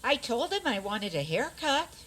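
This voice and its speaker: A woman's voice: fairly high pitch, sing-song, normal speed, fairly bright tone. A woman acting a plainspoken, practical fairy-tale princess in a community radio play, with a matter-of-fact manner.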